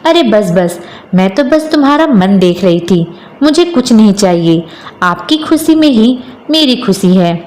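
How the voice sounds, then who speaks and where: A woman's voice: mid-range voice, expressive, measured pace, a little mellow, close to an everyday voice. A woman voicing a meek yet perceptive village wife, earnest and plainspoken, in a storybook tale. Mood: hopeful.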